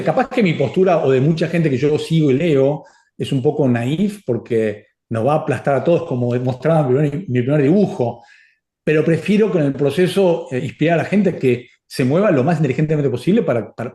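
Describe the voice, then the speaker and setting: A middle-aged man whose first language is Spanish, answering audience questions in a casual, animated way with many restarts. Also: on the high side, a little fast, roomy sound. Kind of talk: casual Q&A talk.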